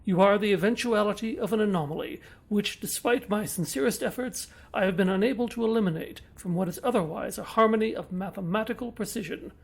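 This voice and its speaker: Man as a husky, pompous cosmic entity. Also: sits high, exaggerated voice. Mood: coldly lecturing. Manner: pompous, measured, verbose, detached. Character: pompous reality-creating frog entity, coldly verbose. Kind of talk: mock-grandiose audio drama monologue.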